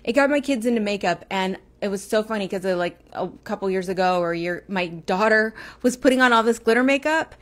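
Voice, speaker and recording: A woman's voice: mid-range voice, animated, a little fast, few pauses. A woman in her forties with a Texas accent that slips into Midwestern vowels, chatting on a livestream, rambling and breaking off to greet viewers. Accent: Texas, with Midwestern turns. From Texas, United States. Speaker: livestream host. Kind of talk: casual livestream chat. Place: small room indoors. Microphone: earphone headset mic, phone camera close.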